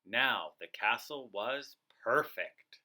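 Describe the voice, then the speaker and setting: A man narrating a children's storybook aloud in an expressive, dramatic storytelling style. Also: medium pitch, takes it slowly. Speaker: co-reader of a children's read-aloud.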